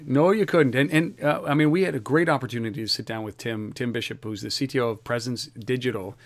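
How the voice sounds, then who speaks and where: A man hosting a tech podcast, talking in a lively, energetic way. He often repeats the first words of a sentence before he settles into it. His voice sits at an ordinary middle pitch. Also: quick pace. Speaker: podcast host. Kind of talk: casual podcast chat.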